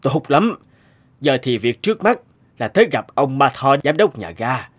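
An actor playing a kindly older policeman in a cartoon, speaking in an earnest, measured and principled way. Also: mid-range voice, sing-song, breathy edge. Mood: earnest, firm. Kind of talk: animated cartoon dubbing.

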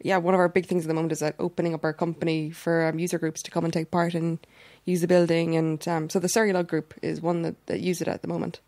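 Irish woman, podcast guest, fast talker, gravelly, dry close sound. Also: on the low side, flat delivery, darkish tone, no room sound. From Ireland. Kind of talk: casual podcast chat.

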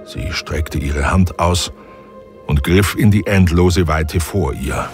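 A man narrating a science fiction audio drama in a measured, grave way. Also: deep voice, music in the background. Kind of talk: scripted narration.

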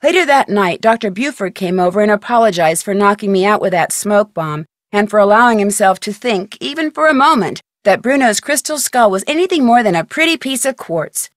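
Actress as young lady detective telling the story for a mystery game, calm n wry, dry studio sound. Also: medium pitch, no room sound, lightly acted. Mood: composed, wry. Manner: clear measured storytelling, dryly wry. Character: young woman amateur detective, composed and wry. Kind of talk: scripted game narration.

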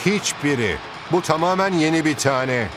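A man voicing a steady, rallying football team captain in a cartoon, commanding and encouraging. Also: a little high, normal speed, music in the background, close to an everyday voice. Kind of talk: animated cartoon dubbing. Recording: clear background noise, ambience.

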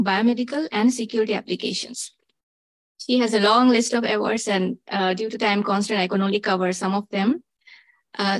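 Woman panel moderator, halting delivery full of fillers, dry close sound. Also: mid-range voice, no echo, low-quality recording. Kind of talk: reading speaker introductions.

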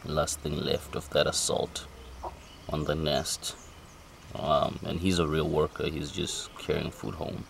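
A man's voice: a bass voice, little pitch movement, unhurried, creaky. A man musing casually off the cuff as he films in a farmyard, often asking himself questions. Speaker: amateur vlogger filming a farm. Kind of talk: casual off-the-cuff narration of animals. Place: outdoors in a farmyard. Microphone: handheld camera, behind the lens. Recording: noisy outdoor camera audio, birds and insects.

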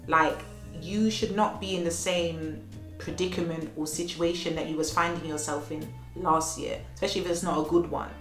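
A woman's voice: low voice, steady tone, moderate pace. A woman in her thirties with a slight cold, giving earnest motivational life lessons in a home vlog and repeating phrases for emphasis. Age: 30s. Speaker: vlogger. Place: at home, child playing in next room. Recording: echoey room with faint music.

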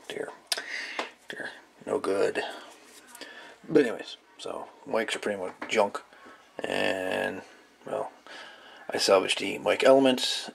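Low, gravelly man at a workbench. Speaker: hobbyist radio video maker. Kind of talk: casual hands-on workshop demonstration. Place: indoors at a workbench. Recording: light background noise, clicks of handled parts.

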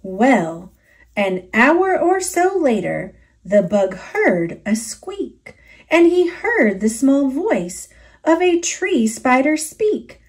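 A woman with an American accent narrating a rhyming children's story aloud, expressively and with deliberate enunciation. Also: high-pitched, sing-song.

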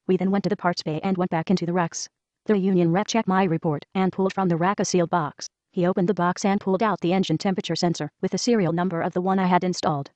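A woman reads a first-person letter aloud at a quick clip, in an even, brisk read-aloud manner. Her voice sits slightly low and sounds dry, with no room around it. The recording is dull and lo-fi. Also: no room sound. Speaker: reader of a whistleblower letter. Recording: dull lo-fi voice track.

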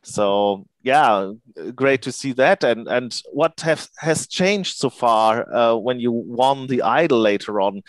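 A man interviewing a singer over a video call on a headset, talking haltingly with many fillers. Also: medium pitch, expressive, no echo. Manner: halting, many fillers, non-native phrasing.

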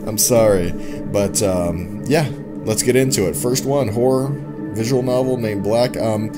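A man's voice: on the low side, a little slow. A young man hosting a casual let's play, chatting informally and reacting playfully as he goes. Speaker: gaming YouTuber host. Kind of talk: casual let's play commentary, reading game text aloud. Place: indoor home gaming setup. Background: game music.

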